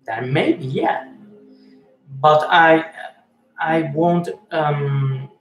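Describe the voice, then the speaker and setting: Halting man in an echoey room. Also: a little high, steady tone, a bit bright, faint music behind. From Switzerland. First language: Swiss German and Italian. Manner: halting, many fillers and restarts, reads chat aloud. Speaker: live-stream host reading chat. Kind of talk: casual live-stream chat. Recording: echoey room with faint noise.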